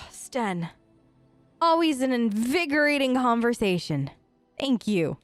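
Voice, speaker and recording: A woman improvising in-character role-play chat for a let's play, casual and halting. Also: fairly high pitch, big pitch swings, no room sound, soft background music. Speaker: let's player role-playing game dialogue aloud.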